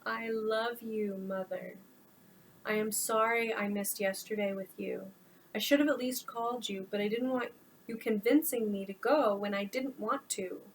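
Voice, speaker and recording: A woman's voice: mid-range voice, moderate pace, slight background noise, lightly acted, rough recording. Woman voicing an exasperated grown son. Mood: tender. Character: young adult man, exasperated, blunt.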